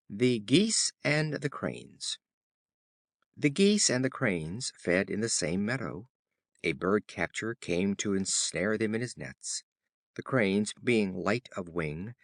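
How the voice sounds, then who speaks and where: Guy reading old fables for an audiobook, formal and measured, really animated pitch, dry close sound. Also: no echo. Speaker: audiobook narrator. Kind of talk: scripted storybook narration of fables.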